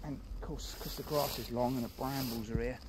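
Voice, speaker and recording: A man's voice: medium pitch, fairly even intonation, fairly quick. A man casually narrating a foraging vlog outdoors on a handheld camera, rambling and half talking to himself. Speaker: vlogger and forager. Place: outdoors in a grassy field with trees. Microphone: handheld phone or camera. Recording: very noisy outdoor handheld recording, handling clicks.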